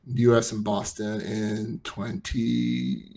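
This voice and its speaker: Gravelly middle-aged man chatting casually. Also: fairly low pitch, level tone, slow, darkish tone. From United States and Nigeria. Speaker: podcast co-host. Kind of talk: casual chat.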